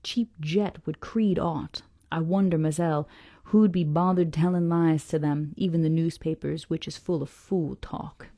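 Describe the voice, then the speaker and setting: A woman's voice: sits low, steady tone, a little slow, warm tone, no room sound, clean studio sound. A woman voicing a brusque, skeptical old sailor of nearly a hundred in a storybook, with an American Southern accent and folksy dialect. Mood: scornful. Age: nearly 100. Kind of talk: acted storybook dialogue.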